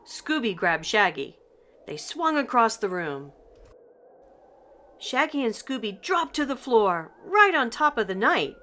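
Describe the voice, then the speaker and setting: An older woman reading a children's picture book aloud in an expressive, deliberate storytelling manner. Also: fairly high pitch, noisy background. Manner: slow, deliberate, animated delivery for children. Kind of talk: expressive scripted read-aloud narration.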